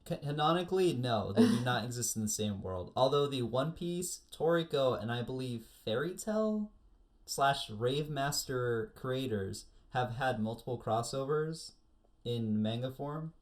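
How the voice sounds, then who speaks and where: A man's voice: mid-range voice, animated, a little slow. A man chatting casually as a panelist on an anime discussion show, explaining things with detailed asides. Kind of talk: casual chat.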